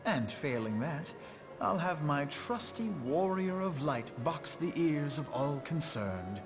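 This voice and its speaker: A man's voice: on the high side, very animated, takes it slowly. An actor playing a jovial, grandiloquent statesman in a fantasy video game, with a florid, oratorical delivery. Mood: buoyant. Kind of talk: theatrical fantasy game voice acting. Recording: loud music behind the voice.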